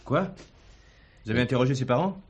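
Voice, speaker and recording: An actor playing a blunt but patient male police chief in a French radio drama, brisk and questioning. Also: mid-range voice, animated, slightly rough, dry recording, muffled recording. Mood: urgent, impatient.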